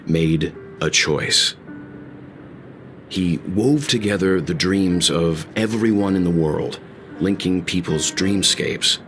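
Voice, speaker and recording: A man playing an earnest, philosophical traveler telling a story in a video game, deliberate and solemn with weighty pauses. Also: sits low, a bit bright, heavily acted.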